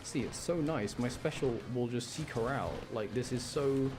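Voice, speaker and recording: A man's voice: medium pitch, normal speed. A man with a British accent chatting casually and rambling as he thinks aloud while commentating on a video game he is playing. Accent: British, generic English. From English Midlands, UK. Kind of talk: casual chat with gameplay commentary. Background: game music.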